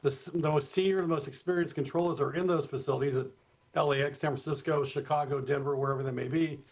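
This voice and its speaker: An American man speaks as an expert guest in a live interview, explaining in a measured, informal way. His voice sits somewhat high, and the remote feed sounds a little dull and lo-fi. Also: steady tone. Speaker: expert guest, former airport communications director.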